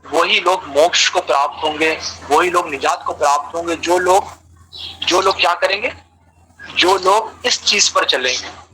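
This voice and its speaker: A man's voice: fairly high pitch, a little slow, pushed and bright, a little hiss or hum, rough recording. A man giving a religious lecture on an online call, explaining terms and repeating phrases for emphasis. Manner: explanatory, translates key terms as he goes. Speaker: religious speaker answering questions.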